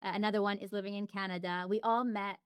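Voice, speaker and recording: Smooth, clear-voiced woman chatting. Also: medium pitch, steady tone, fast, no room sound. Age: adult. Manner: chatty, frequent restarts and fillers. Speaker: interview guest. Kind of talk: reflective casual conversation.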